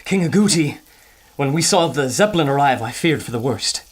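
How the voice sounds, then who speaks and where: A man's voice: mid-range voice, animated, fairly quick, breathy edge. A man playing a cautious scholarly doctor. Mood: thoughtful. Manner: thoughtful, scholarly explanations. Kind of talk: audio drama acting.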